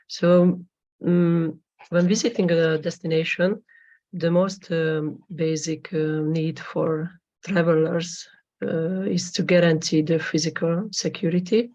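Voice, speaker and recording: Halting woman presenting research. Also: fairly low pitch, fairly even intonation, a little mellow. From Hungary. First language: Hungarian. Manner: halting, formal, with frequent pauses. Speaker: conference presenter, PhD student. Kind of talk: academic presentation. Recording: dull lo-fi recording.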